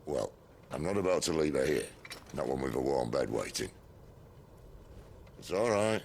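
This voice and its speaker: Cid, a gravelly outlaw. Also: male voice, very deep voice, very animated, darkish tone, slight background noise, heavily acted. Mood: wry. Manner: dry, wry and unhurried. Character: worldly gruff male outlaw leader, middle-aged. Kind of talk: video game cutscene acting. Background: orchestral game score.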